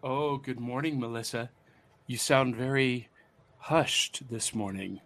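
A man's voice: mid-range voice, takes it slowly, fairly bright tone. A man hosting a casual podcast over a video call, upbeat and giving his guests big buildups. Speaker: podcast host.

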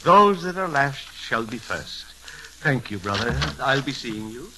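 An actor playing a genteel, slyly innocent deacon, formal, polite and unctuous, in old-time radio comedy style. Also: male voice, medium pitch, big pitch swings, a little slow, heavy background noise. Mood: sly, genial. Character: genteel, pious-sounding card sharp deacon, slyly innocent.

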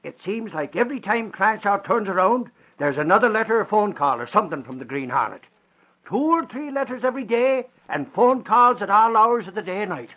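An actor playing an excitable, boastful, folksy sidekick in an old-time radio drama. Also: male voice, sits high, brisk. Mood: excited, exasperated. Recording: dull old radio recording.

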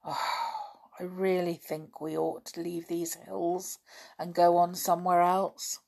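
Woman voicing a sensible schoolboy, calm, reasoning, low voice, slightly husky. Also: slow, full character voice. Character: sensible, steady boy leader Julian.

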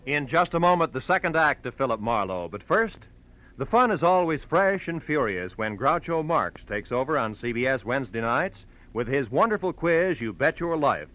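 Animated male 1940s radio announcer. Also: medium pitch, moderate pace, rough recording. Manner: polished, punchy delivery.

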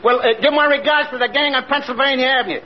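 An actor playing a slick, self-important wheeler-dealer in old-time radio comedy, smooth-talking and conspiratorial. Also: male voice, very high voice, quick pace. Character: slick, bluffing male wheeler-dealer and swindler. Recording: muffled noisy vintage radio recording.

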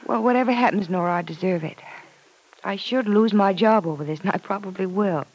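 Woman acting a worried principled lady in an old radio soap, medium pitch, patient but firm. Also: brisk, natural voice, rough recording. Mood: earnest, resigned. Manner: earnest, patient, gently firm. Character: conscientious, troubled, principled young working woman.

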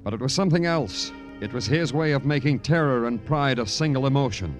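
Guy doing old radio noir detective, deadpan and clipped but lots of pitch movement, music under it. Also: brisk, natural voice. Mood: brooding narration. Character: wry, hard-boiled male Broadway police detective. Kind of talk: 1940s-50s radio noir acting and narration. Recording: vintage radio drama with music.

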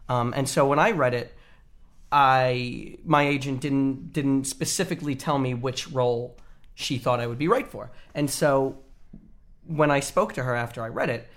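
Man, mid-pitched actor guest, casual chat, slips into quoted dialogue. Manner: animated anecdote-telling with quoted dialogue. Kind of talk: casual interview.

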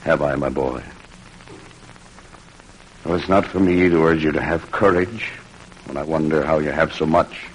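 Fatherly chaplain, bass voice, compassionate, sorrowful, vintage radio drama, slight noise. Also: measured pace, pauses often, poor audio. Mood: sorrowful, gentle. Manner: soft-spoken, compassionate, solemn. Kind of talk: old-time radio drama acting.

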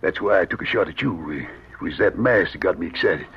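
Weary outlaw-by-accident: American, deep gravelly growl, middle-aged, muffled old radio western. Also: male voice, lively intonation, brisk, exaggerated voice. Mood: weary recounting. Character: weary, gruff older fugitive cowboy, wronged and hopeful. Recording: dull old radio recording.

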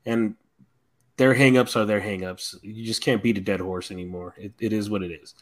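A man's voice: on the low side, steady tone, moderate pace. A man on a podcast panel, giving his opinions in casual review chat.

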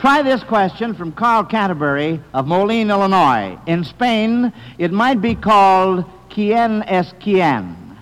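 A man's voice: sits high, big pitch swings, takes it slowly, a bit bright, slight background noise. A man hosting a 1940s radio quiz, speaking to children in a folksy, paternal manner. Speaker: quiz show host. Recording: reverberant hall, vintage radio broadcast.

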